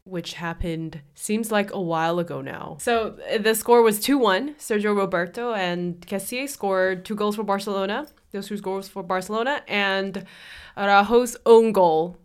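A woman's voice: medium pitch, moderate pace, clear, resonant tone. A congested woman co-hosting a football podcast, chatting casually with dry teasing. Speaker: podcast co-host.

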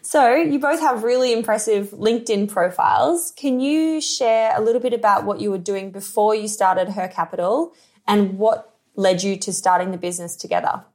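A woman's voice: mid-range voice, normal speed, a little mellow. A woman hosting a podcast, doing introductions and interview questions. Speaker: podcast host.

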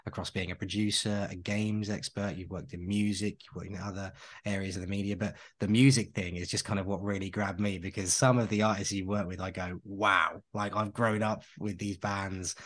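A man's voice: on the low side, fairly even intonation, a little fast. A man co-hosting a podcast, chatting casually and enthusiastically, piling on praise and rambling through restarts. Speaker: podcast co-host.

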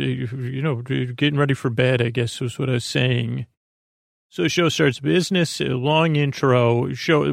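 A man hosting a bedtime podcast in a meandering, halting, goofy monologue meant to lull listeners to sleep, with a gravelly voice. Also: mid-range voice, no echo, clean studio sound. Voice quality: gravelly, creaky. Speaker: podcast host.

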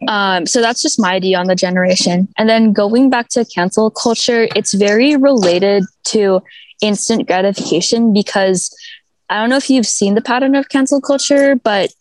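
A woman's voice: medium pitch, no room sound, poor audio. A young woman speaking as an activist guest in a casual video-call interview, chatty and upbeat with lots of fillers. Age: young adult. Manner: chatty and upbeat, quick laughs, many fillers. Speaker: mental health activist, guest. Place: outdoors at a school.

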